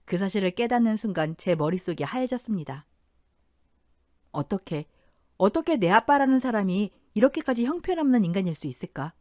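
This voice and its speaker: A woman's voice: mid-range voice, lively intonation, brisk, a little mellow, no room sound, studio quality. A woman in her thirties narrating a dramatic first-person family story in an intense, emotional telling.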